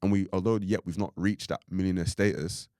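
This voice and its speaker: A man's voice: low-pitched, steady tone, fairly quick, darkish tone, no echo, clean studio sound. A young man from Ireland co-hosting a podcast, talking casually in a rambling way with lots of "like" and "you know what I mean". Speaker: podcast co-host.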